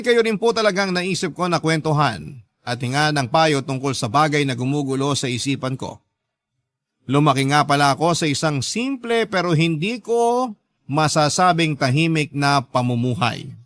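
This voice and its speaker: A man's voice: a little high, fairly bright tone, dry recording. A man with a Filipino accent, a radio host reading out a listener's letter in a dramatic scripted narration.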